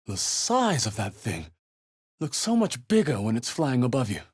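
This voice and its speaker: A man playing a watchful, wry adventurer hero in a fantasy video game, musing in measured asides. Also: mid-range voice, huge pitch swings, slightly rough. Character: adventurer hero, male, watchful, wry, muttering observations while exploring. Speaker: player character in a fantasy role-playing game.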